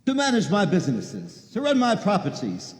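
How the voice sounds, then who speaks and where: A man's voice: high-pitched, wildly swooping pitch, normal speed, a little hiss or hum. An actor playing a domineering, scheming rich old man in a live radio play, with an oily, condescending manner. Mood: condescending, sly. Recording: echoey hall, live stage.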